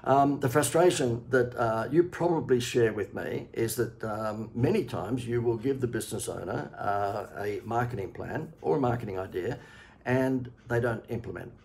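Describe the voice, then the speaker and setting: A man in his sixties with an Australian accent, a marketing consultant giving a chatty, persuasive sales pitch from a home office, often pausing with "uh". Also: creaky, a little mellow. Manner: chatty, persuasive, many "uh" fillers. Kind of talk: direct-to-camera sales pitch.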